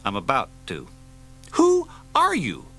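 A man voicing an agitated male border agent who demands answers in a strained, high voice. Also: sing-song, brisk, soft background music, heavily acted. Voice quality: strained and high, rising to near shouts.

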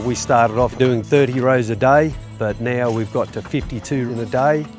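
Man, medium pitch, casual contractor talk, soft music underneath. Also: normal speed. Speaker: installation contractor. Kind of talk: casual documentary interview.

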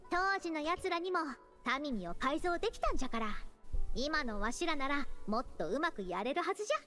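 A proud young girl scholar in an anime game, high and expressive. She speaks with the archaic, self-assured phrasing of an old sage, over faint music. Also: rapid-fire. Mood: proud, resolute. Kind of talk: Japanese anime game acting.

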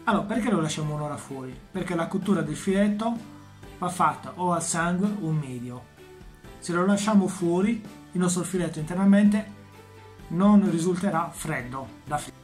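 A man's voice: fairly high pitch, expressive, a little fast, a little mellow, faint music behind. A man hosting a cooking demonstration in a home kitchen, explaining each step and answering his own questions. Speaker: cooking show host.

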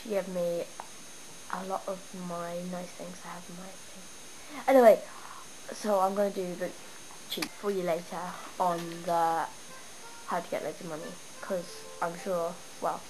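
A young woman with a stuffy cold, chatting and rambling excitedly as she shows off her game town at home. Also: fairly low pitch. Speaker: gamer showing her town. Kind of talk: casual game walkthrough. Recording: noisy home camera recording. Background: steady background noise.

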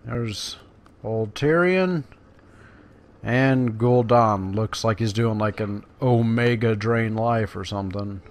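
A man's voice: fairly low pitch, slow. A man giving casual let's play commentary in a laid-back, musing way. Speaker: gaming let's play host.

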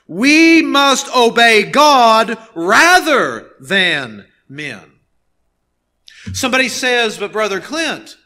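A man in his thirties preaching a sermon as a pastor, earnest and emphatic, leaning on pauses and repeated phrases. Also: high-pitched, bright, forceful tone. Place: nearly empty church sanctuary, behind a pulpit.

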